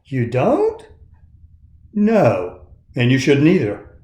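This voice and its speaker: A large, fatherly woodcarver from a storybook, acted out by a man. He is gentle and reassuring, with a thoughtful manner and a soft-edged, mellow tone. The recording sounds roomy. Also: medium pitch, wildly swooping pitch, drawn-out words, heavily acted. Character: large, kindly, reassuring male woodcarver and maker.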